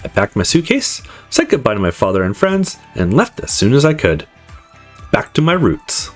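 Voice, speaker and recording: Man reading as breezy, animated young male student. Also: medium pitch, moderate pace. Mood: breezy, boastful. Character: confident, upbeat male student narrating his life story. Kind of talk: visual novel narration read aloud. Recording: music playing behind the voice.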